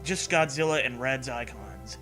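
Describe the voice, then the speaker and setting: A man's voice: mid-range voice, normal speed, sharp tone, faint music behind. A man reading a scary story as a narrator, with dramatic, earnest delivery. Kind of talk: dramatic scripted narration of a creepypasta.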